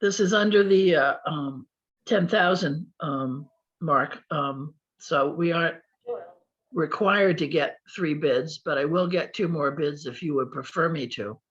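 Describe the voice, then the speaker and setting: A woman on a committee who is losing her voice, reporting at a meeting with many fillers and throat-clearing. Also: low-pitched, unhurried. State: hoarse, losing voice. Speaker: committee member. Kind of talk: meeting discussion. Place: public meeting room. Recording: dull lo-fi meeting recording.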